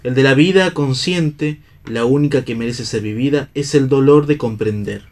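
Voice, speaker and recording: An actor playing an earnest idealistic hero in a radio play, solemn and declamatory. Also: male voice, medium pitch, moderate pace, darkish tone, lightly acted. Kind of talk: theatrical radio play reading.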